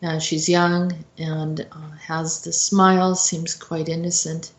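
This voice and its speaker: A woman giving an informal explanatory talk as a host, in a halting, reflective manner. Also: low-pitched, flat delivery. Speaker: host commentator. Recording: roomy, echoey sound.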